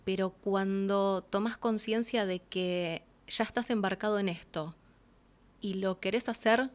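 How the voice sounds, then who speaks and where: A woman's voice: mid-range voice, steady tone, a little slow. A woman with an Argentine accent speaking as an interview guest, casual and reflective, acting out little quotes as she explains.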